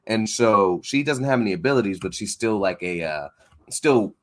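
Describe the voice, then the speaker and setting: Guy hosting a casual chat show, lowish voice, kinda thinking out loud and stopping and starting. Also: animated. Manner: informal, thinks out loud, halting. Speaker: host of a comics breakdown show.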